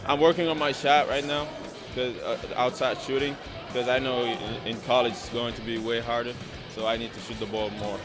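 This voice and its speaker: A man's voice: medium pitch, level tone. A teenage boy with a Senegalese accent, a basketball recruit, giving interview answers in simple phrasing. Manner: simple non-native phrasing, measured. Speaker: high school basketball recruit. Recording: loud music underneath.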